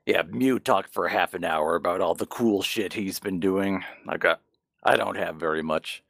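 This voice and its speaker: A man's voice: low voice, moderate pace, vocal fry, a bit bright, no echo, clean studio sound. A man co-hosting a podcast, chatting casually and frankly about games and manga, with some swearing. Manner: profane, frank. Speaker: podcast co-host.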